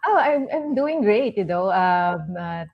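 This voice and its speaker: A woman's voice: medium pitch, lively intonation, a little slow, gravelly, dull audio. A woman from the Philippines joins a live online interview as a guest. She speaks in a friendly, familiar way and calls the host sis.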